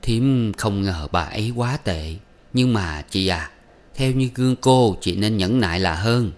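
A man voicing a kind middle-aged aunt who advises gently and coaxingly. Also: on the low side, lively intonation, measured pace. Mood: gentle.

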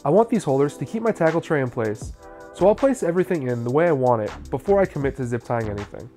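A man's voice: mid-range voice, animated, quick pace, dark tone, faint music behind. A man, narrating a how-to video in a scripted, explanatory style.

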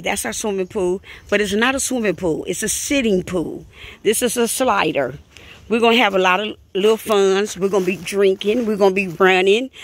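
A woman's voice: mid-range voice, fairly bright tone. A middle-aged woman, chatting casually to her viewers as she walks them through her kitchen and backyard. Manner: chatty, talks straight to viewers. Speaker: vlogger showing her family cookout. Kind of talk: casual vlog walkthrough. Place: home kitchen and backyard, outdoors.

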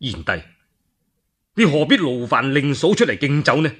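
A stern male general from a radio tale, put on by a male storyteller, talks with a Cantonese accent and upright conviction. The voice is forceful and raspy, the words emphatic. He is a righteous warrior. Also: medium pitch, sing-song, moderate pace. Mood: grave, courteous.

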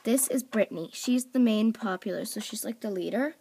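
A woman's voice: mid-range voice, fairly quick, vocal fry, warm tone, husky. A woman casually introducing characters off-camera, rambling with lots of "like" and "um" and correcting herself as she goes. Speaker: channel host presenting toy characters for voice auditions. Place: indoors at home, toys on a table. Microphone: phone or camera mic, speaker off-camera.

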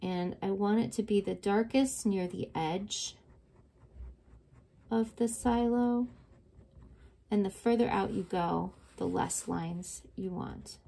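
A woman's voice: medium pitch, gravelly, dark tone. A middle-aged woman teaching a step-by-step drawing lesson to children, patient and encouraging, recorded at her desk on the camera mic. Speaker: art teacher.

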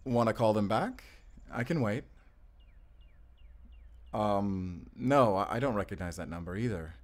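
A man playing an affectionate, playful and flirty love interest in an intimate audio roleplay, with a gently teasing manner. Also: lowish voice, lively intonation, a little slow. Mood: hesitant, considerate. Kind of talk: intimate audio roleplay acting addressed to the listener.

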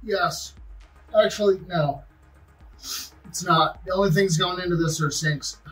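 A man calmly and patiently giving short instructions while doing plumbing work at home. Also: high-pitched, measured pace, soft background music, poor audio. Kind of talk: casual chat while working.

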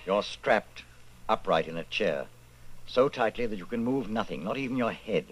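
An actor playing a solemn narrator in an old-time radio drama, telling the story theatrically. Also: male voice, lowish voice, lively intonation, moderate pace, a little hiss or hum, close to an everyday voice, rough recording. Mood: solemn, ominous. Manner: theatrical, second-person storytelling. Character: solemn male radio drama narrator addressing listener as protagonist.

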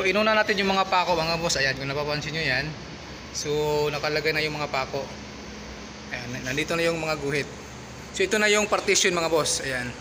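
Guy giving a phone-cam tour of a building job, slightly high voice, lots of ums, noisy room. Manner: chatty, many fillers, keeps addressing viewers as "boss". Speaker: construction vlogger. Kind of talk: casual walkthrough narration. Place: indoor warehouse under construction. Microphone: handheld phone camera.

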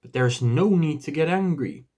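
A man voicing a stern, grave old wizard with a commanding, deliberate manner in a storybook reading. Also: fairly high pitch, expressive, a little mellow. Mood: calming, grave.

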